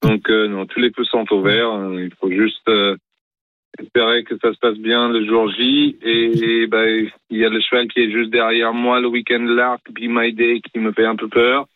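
A man's voice: medium pitch, flat delivery, normal speed, no echo. A man with a British accent, a horse trainer guest talking hesitantly over the phone with many fillers and restarts. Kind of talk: phone interview. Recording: tinny phone line.

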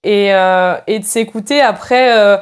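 A woman speaks at a middle pitch, calm and measured. She explains her points step by step as an expert guest. It is a studio discussion with no rush. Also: clean tone. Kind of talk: radio discussion.

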